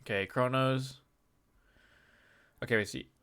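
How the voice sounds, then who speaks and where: A man who is sick and sounds a bit nasal, casually breaking down a game replay as a video host, often clearing his throat. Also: medium pitch, fairly even intonation, measured pace, a bit bright. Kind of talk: casual game analysis commentary.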